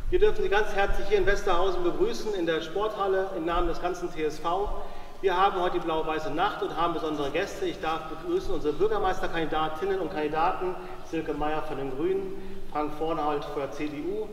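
A man with a fairly high voice gives a formal welcome to viewers and guests. He is recorded from a distance in a large sports hall, with long reverb and faint noise behind him. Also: steady tone, a little fast. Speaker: club host. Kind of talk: welcome speech. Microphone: far camera. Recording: echoey sports hall from far off.

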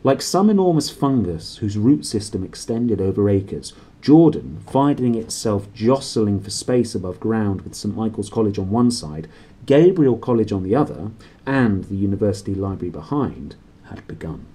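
A man reads a children's story aloud in a soft-edged, husky voice. His pitch sits fairly low but moves widely as he leans into dramatic emphasis. The tone stays mellow. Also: lively intonation, moderate pace. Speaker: storybook reader. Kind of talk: expressive read-aloud of a children's novel. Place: small cluttered room indoors.

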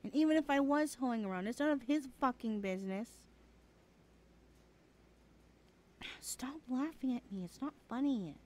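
A woman's voice: high-pitched, a little fast, darkish tone, natural voice. A woman playing a sassy, blunt twenty-one-year-old best friend in a casual, slangy audio roleplay, often sighing. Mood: annoyed, defiant. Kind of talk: conversational ASMR roleplay acting.

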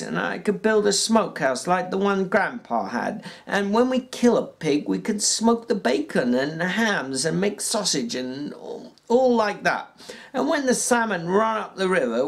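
A reader putting on a drawling, dreamy ranch hand. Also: vocal fry. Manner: raised pitch, stumbles and fillers. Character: plainspoken ranch worker man dreaming aloud. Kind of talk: audiobook reading.

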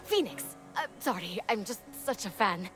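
Woman as young game heroine: earnest, awkward, excitable, very lively intonation, music underneath. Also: medium pitch, normal speed, lightly acted. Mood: starstruck, awkward. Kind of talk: video game character acting.

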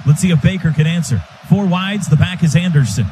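Male radio football announcer, high voice, clipped phrasing, stadium noise faintly behind. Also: darkish tone. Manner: clipped, rapid-fire phrases. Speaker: play-by-play announcer. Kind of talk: live radio football commentary. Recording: noisy stadium broadcast.

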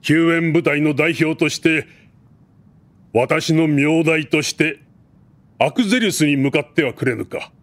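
An actor playing a dignified middle-aged king who speaks in a formal, measured way in an anime drama CD. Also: on the high side, close to an everyday voice. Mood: warm.

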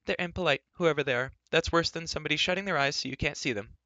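A man voicing an outspoken, bossy young girl in a fantasy storybook, talkative and quick to scold. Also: fairly high pitch, pushed and bright, dry recording, dull audio, pitched above the performer's own voice. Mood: matter-of-fact.

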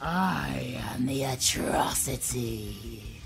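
Slow, creaky, sadistic monster villain. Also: male voice, medium pitch, faint music behind. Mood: mocking menace. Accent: British. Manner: theatrical, taunting, drawn-out. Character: sadistic, playful male supervillain monster, menacing and hungry. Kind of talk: audio roleplay acting.

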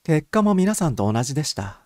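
A man voicing a reserved, nervous young gentleman who speaks formally and with restraint in an audiobook story. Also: a little high, sing-song, a little fast, dry recording, studio quality, pitched above the performer's own voice. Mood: tense, defensive. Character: taciturn, nervous young man under suspicion.

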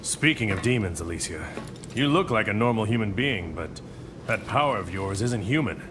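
An actor playing a friendly, gruff military squad soldier in a video game cutscene. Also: male voice, animated, moderate pace, natural voice. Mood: earnest, curious. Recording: loud aircraft rumble behind.

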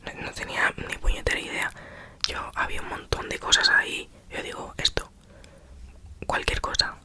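A woman's voice: normal speed, pushed and bright. Whispering young woman telling a story. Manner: chatty, self-mocking, acts out quoted exchanges.

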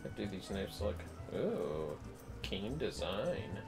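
A man streaming a game speaks in a fairly low, gravelly voice over clear background music. He chats casually, muttering to himself and playfully addressing his audience. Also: sing-song, takes it slowly. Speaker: livestreamer, host. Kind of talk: casual gaming livestream commentary, talking to chat. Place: indoors at home.

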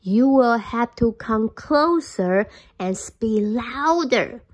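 A woman voicing a sly old male fox in a children's storybook reading, speaking slowly and loudly as he pretends not to hear. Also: on the high side, animated, dull audio. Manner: slow, loud, drawn-out questions.

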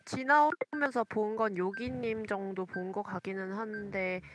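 A woman player in a game voice chat, explaining what she saw in a calm, measured way. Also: medium pitch, slight background noise.

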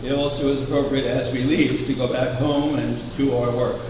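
An older man preaching a sermon from a pulpit, measured and anecdotal, asking rhetorical questions. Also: mid-range voice, level tone. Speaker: preacher, founder of a religious order. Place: chapel, at a wooden pulpit. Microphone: far camera. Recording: echoey chapel from far off, air conditioning hum.

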